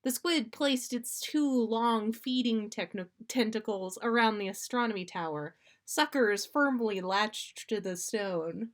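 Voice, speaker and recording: A woman's voice: fairly high pitch, unhurried, hardly any pauses. A woman narrating a story aloud as a podcast host, with dramatic, playful expression. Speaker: podcast host reading listener stories.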